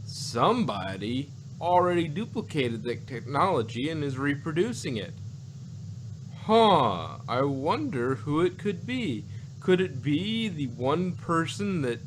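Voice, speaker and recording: Wry man chatting over clear background noise. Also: fairly high pitch. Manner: wry, sarcastic. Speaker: podcast co-host reviewing audio dramas. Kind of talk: casual rambling review chat.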